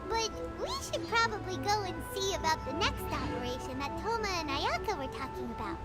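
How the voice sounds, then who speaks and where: A woman voicing a tiny, excitable floating fairy companion in a video game who talks about herself in the third person. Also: very high-pitched, a little fast. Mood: chirpy. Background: game music.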